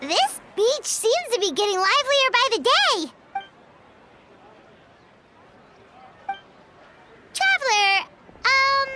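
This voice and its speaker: A woman playing a tiny, childlike, excitable fairy companion in a video game, squeaky and bubbly, with music behind her. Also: very noisy, full character voice. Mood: hungry, hesitant. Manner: bubbly, exclamatory, refers to self in third person.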